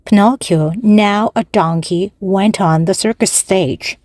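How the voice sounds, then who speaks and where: A woman reads a children's storybook aloud in short, measured phrases. Her voice is mellow and dry, close and plain. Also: mid-range voice, no echo. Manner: measured, with pauses between phrases. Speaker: storybook narrator. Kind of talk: slow, clear read-aloud for language learners.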